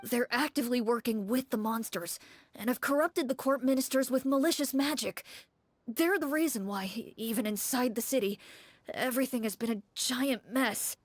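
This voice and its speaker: A woman's voice: a little high, moderate pace, dry recording, natural voice. A woman playing an anxious, pleading townsman in a tabletop game, with earnest, theatrical, old-fashioned phrasing.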